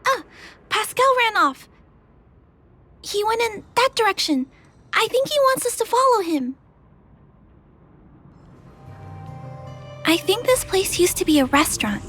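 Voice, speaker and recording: A woman's voice: very high-pitched, sing-song, normal speed, a bit bright, heavily acted. A woman voicing a sweet, helpful little girl in a video game, with a light, girlish voice and a polite, earnest manner. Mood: gentle, curious. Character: sweet, gentle, helpful little girl who tinkers with robots. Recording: music behind the voice.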